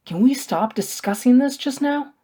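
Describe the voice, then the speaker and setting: A woman's voice: sits high, animated, moderate pace, warm tone, slightly rough, pitched above the performer's own voice. A woman voicing a wry, sardonic young male thief in a storybook, with a dry, sarcastic manner. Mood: stubborn, dry.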